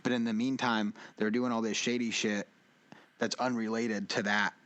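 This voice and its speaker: A man's voice: on the low side, level tone, moderate pace, fairly bright tone. A young man co-hosting a casual podcast, laid-back and rambling, swearing casually. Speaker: podcast co-host. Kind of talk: casual chat.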